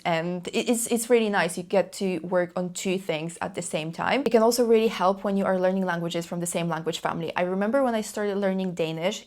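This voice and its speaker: A woman's voice: on the low side, a little mellow. A young woman whose first language is Polish, talking to camera in a chatty, enthusiastic way and often repeating herself for emphasis. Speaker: language learning YouTuber. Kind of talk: casual talk to camera.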